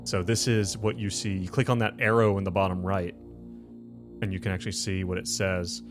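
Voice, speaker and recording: A man's voice: deep voice, flat delivery, brisk, music in the background. A man hosting a tabletop game as game master, explaining and narrating in a casual conversational style. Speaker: game master and show host.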